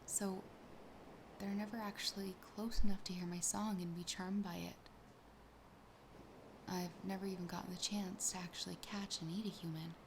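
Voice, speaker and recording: A woman voicing a sassy, possessive, lonely siren in a soft, breathy, often whispered ASMR roleplay, slow, teasing and intimate. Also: fairly low pitch, little pitch movement, background noise, lightly acted. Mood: wistful, self-deprecating. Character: possessive, sassy, lonely female siren toying with her catch.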